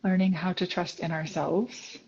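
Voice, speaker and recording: Woman host, husky lowish voice, earnest and affirming, dull lo-fi remote audio. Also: steady tone, moderate pace. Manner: earnest, affirming, many soft agreements. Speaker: podcast host. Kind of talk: reflective conversation.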